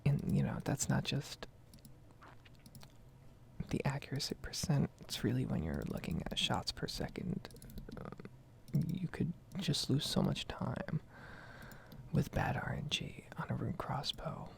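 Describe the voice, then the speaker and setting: A woman giving a casual, rambling gaming monologue in a soft, breathy ASMR whisper, close to the microphone. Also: a bass voice, sing-song, a little slow, long pauses. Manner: casual, rambling, mild swearing.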